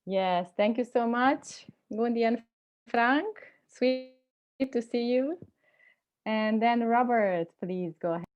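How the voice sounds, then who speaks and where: A woman in her forties moderating an online meeting from a bright room at home, speaking warmly with lots of hesitations into an earphone mic. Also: on the high side, takes it slowly, muffled recording. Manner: warm, hesitant, many fillers. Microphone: earphone mic on video call.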